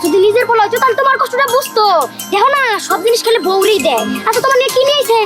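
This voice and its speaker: Nosy village auntie for a cartoon, super high voice, rattles on fast, grumbling and scheming. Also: female voice, over loud music. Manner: complaining, insinuating rural chatter. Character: meddling, gossiping village aunt, indignant and scheming. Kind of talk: cartoon drama acting.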